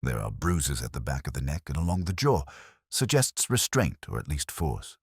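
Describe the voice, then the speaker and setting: A man's voice: a bass voice, sing-song, normal speed, breathy edge, no room sound, exaggerated voice. A man voicing a blunt, dry police doctor in a mystery story, with a clipped clinical manner. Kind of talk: mystery audiobook character reading.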